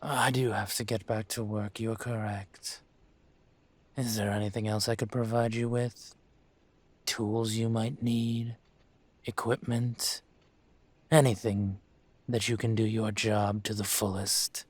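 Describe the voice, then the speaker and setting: A man playing a suave, menacing dragon mafia boss in an audio roleplay, measured and condescending with a teasing edge. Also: fairly low pitch, flat delivery, no room sound. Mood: formal, composed. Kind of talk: scripted audio roleplay, intimate.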